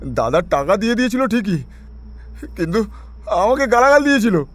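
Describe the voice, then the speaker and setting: A man's voice: high-pitched, big pitch swings, moderate pace, fairly bright tone, heavily acted. A male actor playing a frightened slum tough in an audio drama, pleading his innocence to the police in an agitated, stammering way. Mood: agitated, resentful.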